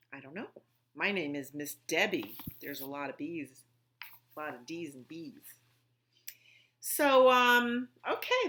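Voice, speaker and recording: A woman with a fairly low voice hosts storytime for little children. She reads and explains slowly and simply, with very lively, swooping intonation. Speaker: children's library storytime host. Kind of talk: storybook read-aloud and songs for young children.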